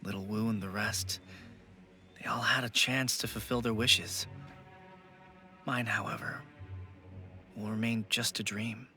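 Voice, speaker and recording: A man voicing a wistful, earnest funeral parlor worker in an anime game, speaking in a sincere, measured way. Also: fairly low pitch, fairly even intonation, bright, forceful tone, soft background music. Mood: melancholy.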